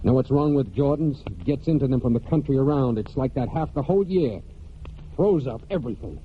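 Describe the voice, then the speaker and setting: An actor in a 1940s American radio drama playing a brooding young farm man and war veteran, speaking plainly and haltingly in rustic phrases. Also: medium pitch, expressive, a little hiss or hum, lightly acted. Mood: bitter. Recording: muffled 1940s radio broadcast with music.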